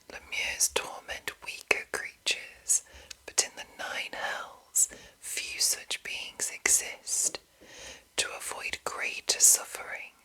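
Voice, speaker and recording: Slow, breathy whispering ASMR woman. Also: bright, forceful tone, low-quality recording. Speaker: ASMR host reading a monster manual.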